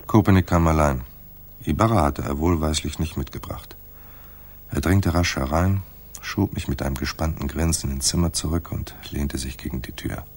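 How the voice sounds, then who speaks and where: A hard-boiled private eye in a radio play. His voice is deep and rough-husky. He delivers his lines dryly, with wry understatement and a tough, terse manner. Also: moderate pace, a little mellow. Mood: terse, tense. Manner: dry, understated. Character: wry, tough, laconic male private detective narrator.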